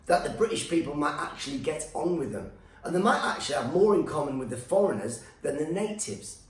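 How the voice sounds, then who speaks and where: A man's voice: on the high side, sing-song, brisk, roomy sound. A male stand-up comedian, born in Iran with Farsi as his first language, delivering a sarcastic rant that keeps slipping into silly put-on voices.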